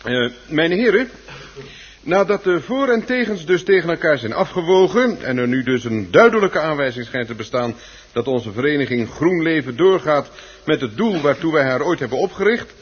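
A man's voice: a little high, animated, moderate pace, breathy edge. An actor playing a pompous, ceremonious chairman of a gardening club in a Dutch radio play, wordy and oratorical. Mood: pompous, solemn. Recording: muffled, lo-fi old radio recording.

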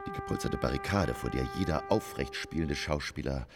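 Radio play: self-absorbed stage tragedian, low gravelly voice, declamatory, rambling, melancholy. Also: male voice, animated, a little fast, over loud music, exaggerated voice. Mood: melancholy, pompous. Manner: declamatory, rambling, dramatic swings from whisper to outburst. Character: theatrical, self-absorbed, melancholy male stage actor living for theatre. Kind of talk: German radio drama monologue.

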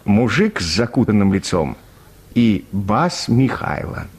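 A man's voice: fairly low pitch, big pitch swings, slow, breathy edge. A man narrating a literary short story for a Russian radio play.

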